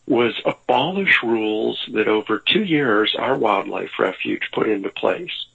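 A man answering interview questions over the phone, earnest and frustrated, halting with many ums. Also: on the low side, gravelly, husky. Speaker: interview guest. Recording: tinny phone line.

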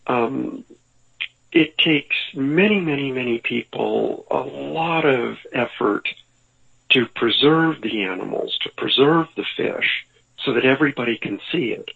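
A man speaks slowly and earnestly on a tinny, phone-like line. His voice is gravelly and husky, and he pauses often and trails into ums. He sounds frustrated and deliberate. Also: medium pitch. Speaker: interview guest. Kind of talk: conversational interview answers.

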